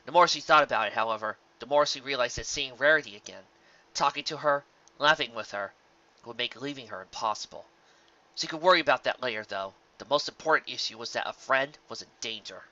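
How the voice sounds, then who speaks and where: A narrator reading a scripted story aloud with an expressive, dramatic storytelling delivery. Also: mid-range voice, a little fast, lo-fi sound. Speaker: audiobook narrator.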